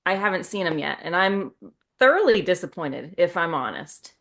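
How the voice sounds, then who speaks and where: A woman's voice: on the low side, sing-song, normal speed, gravelly, a bit bright. A middle-aged woman chatting casually as a podcast host, retelling things in a wry, self-mocking way with quoted dialogue.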